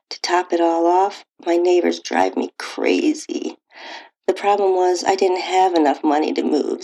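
A woman narrating a first-person erotic story in an intimate, breathy way, often dropping toward a whisper. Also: fairly low pitch, darkish tone, rough recording. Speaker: podcast host reading own stories.

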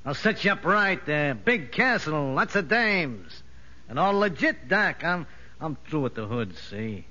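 An actor playing a gruff but big-hearted Italian gangster convict in rough slangy talk, in a 1950s radio drama. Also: male voice, a little high, sing-song, unhurried, bright, forceful tone, exaggerated voice. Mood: gruffly affectionate. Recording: dull vintage 1950s radio recording.

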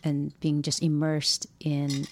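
A woman from Hawaii in casual conversation, reflecting thoughtfully on growing up there and sometimes dropping to a soft whisper. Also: low-pitched, completely flat delivery, slow pace, a little hiss or hum. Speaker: podcast co-host. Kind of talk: casual chat.

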